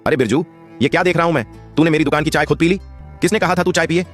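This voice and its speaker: Man doing a greedy, scolding shop boss; raised voice, quick and bossy. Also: a little high, soft background music, natural voice. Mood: angry. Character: harsh greedy male shop owner, middle-aged, stingy. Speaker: shopkeeper character. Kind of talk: animated story voice acting.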